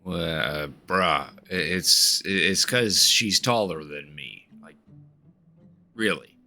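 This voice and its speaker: A man streaming a game, reading along and commenting casually in a halting way. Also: sits low, pushed and bright, soft background music.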